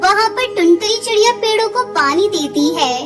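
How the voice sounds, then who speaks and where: A woman's voice: extremely high pitch, animated, moderate pace, over loud music. A woman narrating a scripted children's story.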